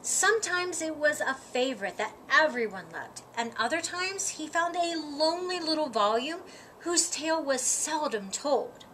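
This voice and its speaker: A woman reading a children's story aloud, with expressive, deliberate phrasing and dramatic emphasis. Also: high voice, poor audio. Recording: audible background noise.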